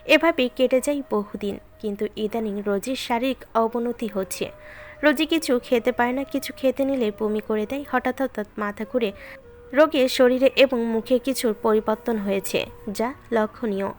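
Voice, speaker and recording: A woman's voice: on the high side, moderate pace. A woman giving scripted narration of a romantic story. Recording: music behind the voice.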